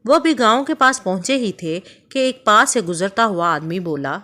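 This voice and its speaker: Calm woman narrating a kids' story. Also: medium pitch, normal speed. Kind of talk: scripted narration for children.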